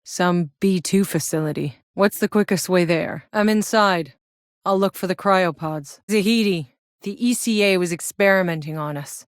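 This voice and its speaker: Woman acting a tough sarcastic soldier lady for a video game, kinda low voice, blunt, totally dry studio sound. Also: moderate pace, no room sound, close to an everyday voice. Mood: probing, angry. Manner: blunt, wry, swearing. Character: tough, sardonic battle-hardened female soldier in sci-fi wasteland. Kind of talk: scripted video game character voice lines.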